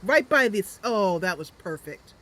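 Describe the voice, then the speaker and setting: A woman's voice: medium pitch, very animated, measured pace, a bit bright, low-quality recording. Playful gamer lady chatting over gameplay, takes her time, voice goes up and down, music on. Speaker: gaming channel host. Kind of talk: casual gameplay commentary.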